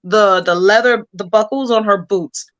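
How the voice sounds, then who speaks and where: A woman's voice: medium pitch, moderate pace, fairly bright tone, lo-fi sound. A woman speaking casually as a creator being interviewed about their work.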